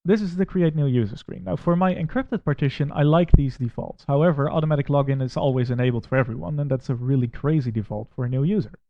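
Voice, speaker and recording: A man from the Netherlands with a Dutch accent, walking through a software installer step by step and critiquing it in a plain, methodical way. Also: mid-range voice, fast, hardly any pauses, no echo, dull audio. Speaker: tech reviewer. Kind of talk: screen-recorded software walkthrough.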